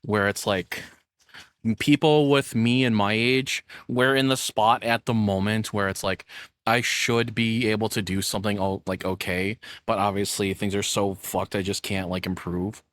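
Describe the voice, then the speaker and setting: A man's voice: on the low side, steady tone, moderate pace, a bit bright, no echo, studio quality. A young man doing casual reaction commentary as a streamer, rambling as he thinks aloud. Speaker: VTuber streamer reacting to a video.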